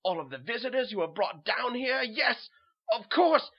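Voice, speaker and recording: A man with a put-on German accent playing a dazed, haunted soldier turned butcher's helper in a dramatic horror reading, halting and brooding. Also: very high voice, lively intonation, pitched above the performer's own voice, heavily acted. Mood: horrified, anguished. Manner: halting, repetitive, brooding first-person delivery. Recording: dull lo-fi home recording.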